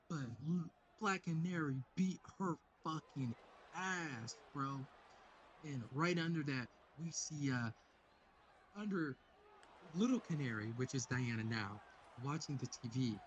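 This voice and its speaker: A man's voice: mid-range voice, dull audio, slight background noise. A man doing a casual comic read-along commentary in a profane, slangy way.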